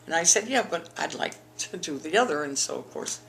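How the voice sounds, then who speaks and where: A woman's voice: low-pitched, lively intonation, brisk, creaky, fairly bright tone, a little hiss or hum. An older woman from the eastern United States, telling her school memories in an oral history interview, rambling with frequent restarts. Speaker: interviewee, alumna.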